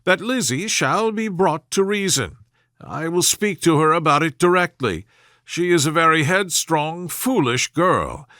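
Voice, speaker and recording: A fretful, excitable middle-aged mother from a classic storybook, acted out by a male narrator. The voice is raised high and swings about, bright and insistent as it pleads. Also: measured pace, no room sound, clean studio sound. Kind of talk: storybook character voice in classic novel reading.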